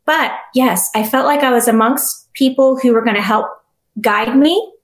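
A middle-aged woman with a soft voice, telling her personal story as an interview guest, earnest and reflective with many restarts. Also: high voice, normal speed, roomy sound.

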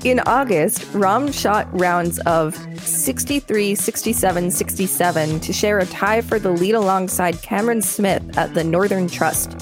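A woman's voice: medium pitch, a little slow, few pauses, music in the background. A woman co-hosting a show, reading an article aloud in a narrator's style with light, joking chat in between. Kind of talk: read-aloud narration with casual banter.

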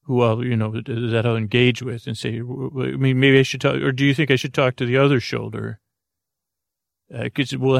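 A man with a low, gravelly voice rambles through a bedtime monologue, stumbling over restarts and fillers as he jokes gently. His voice sits close and dry, with no room sound around it. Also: no room sound. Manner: halting, full of fillers and restarts, gently joking. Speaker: sleep podcast host.

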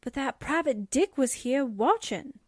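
A woman's voice: high-pitched, lively intonation, a little slow, dry recording, studio quality. A woman playing a blunt, wry newspaperwoman sidekick in 1940s radio style, matter-of-fact. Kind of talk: 1940s radio drama acting.

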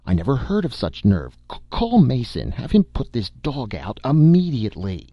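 A man's voice: mid-range voice, wildly swooping pitch, normal speed, breathy edge, dry recording, highs cut off, voice pushed up, full character voice. A man voicing a haughty, exasperated young society wife and mother, snappish and imperious, in an audiobook story. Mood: indignant, shrill.

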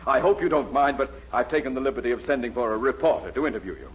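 An actor playing a pompous, gushing university dean in a 1940s radio comedy, on a muffled old recording. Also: male voice, mid-range voice, animated, racing, slight background noise, lightly acted. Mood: gushing, awed. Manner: pompous, effusive, grandiose.

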